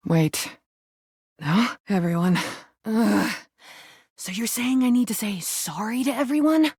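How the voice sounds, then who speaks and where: A woman's voice: mid-range voice, a little mellow, breathy edge, no echo, clean studio sound. A woman voicing an angry, wounded female rubber duck in a video game, blunt and defensive but easily made vulnerable. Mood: anxious, reluctant. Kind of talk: video game character acting.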